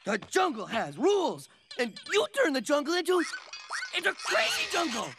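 A man's voice: very high voice, huge pitch swings, normal speed, gravelly, exaggerated voice. A man voicing a friendly, dim-witted and naively confident young jungle man in exaggerated cartoon style, talking in broken grammar. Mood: earnest. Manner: speaks of himself in third person, broken grammar. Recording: cartoon music underneath.

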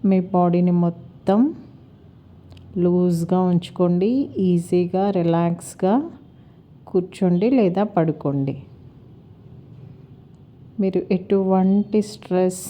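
Calm low-pitched woman guiding meditation. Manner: calm, soothing, measured with long pauses. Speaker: yoga and meditation teacher. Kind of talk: guided meditation instruction.

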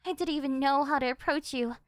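A woman's voice: sits high, fast, lightly acted. An actress playing a clingy, possessive delinquent girlfriend in an ASMR roleplay, sweet and doting with a menacing edge. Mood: doting, wistful. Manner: doting, pleading, swinging into threats.

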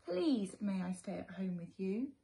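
A woman's voice: mid-range voice, sing-song, unhurried, vocal fry, background noise. A woman voicing a little boy raccoon from a children's storybook, plaintive, childlike and curious. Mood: pleading.

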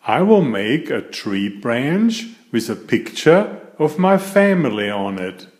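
Male storybook narrator for young children, unhurried and animated in pitch, mid-pitched voice, recorded in an echoey room. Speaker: storyteller and channel host. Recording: roomy, echoing room sound.

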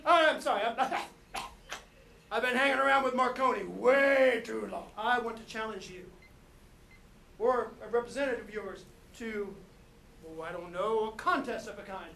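A man doing a gravelly, cocky rival with a silly accent. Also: high-pitched, normal speed, pushed and bright, echoey room, slight background noise, heavily acted. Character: brash scheming male rival with a silly accent.

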